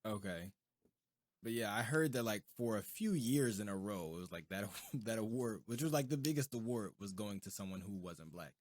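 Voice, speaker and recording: A man's voice: lowish voice, expressive, normal speed, darkish tone, no echo. Young man on a dry, close podcast mic. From United States. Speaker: podcast co-host. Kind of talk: casual chat.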